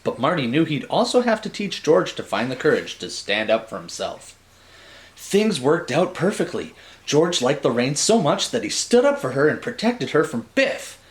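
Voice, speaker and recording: A man's voice: on the high side, sing-song, normal speed. A man narrating a story like a storybook, theatrical and dramatic, with wry asides.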